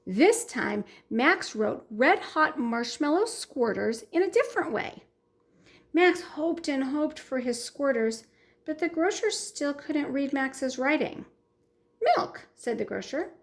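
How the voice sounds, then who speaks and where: Slow, lively-voiced story time reader. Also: female voice, high voice. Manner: warm, expressive storytelling for kids. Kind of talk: children's story read-aloud.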